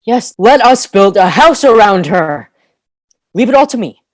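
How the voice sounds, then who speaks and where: A woman's voice: medium pitch, animated, measured pace, no room sound. A woman playing a proud, commanding boy leader in an audio play. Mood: bossy, eager.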